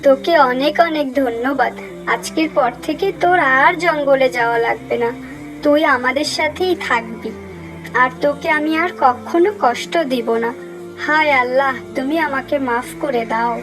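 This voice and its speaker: A woman's voice: high voice, normal speed, close to an everyday voice, poor audio. A woman voicing an emotional village stepmother in a children's cartoon, with a colloquial, heartfelt way of talking. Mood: remorseful. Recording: music behind the voice.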